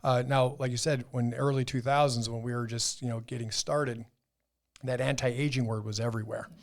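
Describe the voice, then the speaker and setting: Male, fifties, creaky voice, middling pitch, casual health talk, rambling explanations. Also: steady tone, normal speed. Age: 50s. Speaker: podcast co-host, doctor. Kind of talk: casual conversational health discussion.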